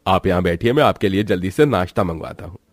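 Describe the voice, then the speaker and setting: A man's voice: low-pitched, lively intonation, fast talker, no echo, clean studio sound. A man voicing a proud, formal rich father in a Hindi cartoon moral story. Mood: courteous. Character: proud rich father, class-conscious businessman.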